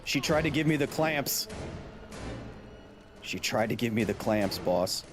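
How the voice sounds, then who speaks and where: A man doing live gameplay commentary, goofy and excitable, who shouts at the game. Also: mid-range voice, a little slow, over music. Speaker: streamer.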